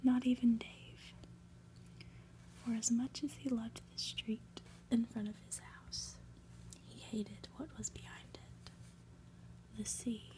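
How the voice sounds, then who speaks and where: A woman reads a storybook in a hushed ASMR whisper. She takes her time at a slow pace, letting phrases linger with soft, deliberate pauses between them. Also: a little high, fairly even intonation, poor audio. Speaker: ASMR reader, channel host.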